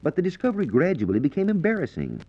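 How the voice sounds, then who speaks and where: A man's voice: fairly high pitch, wildly swooping pitch, fast talker. A man with an American accent giving a formal, explanatory scripted science lecture as a 1940s radio reporter. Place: radio studio. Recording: dull, lo-fi 1940s radio recording.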